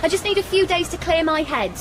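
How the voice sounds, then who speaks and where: A sulky British grown-up daughter in a surreal radio play. Her voice sits high and swoops about as she protests melodramatically, with clear ambient noise around her. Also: lively intonation, quick pace. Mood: confiding. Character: petulant, sulky grown daughter, quick to argue. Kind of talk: surreal radio comedy drama acting.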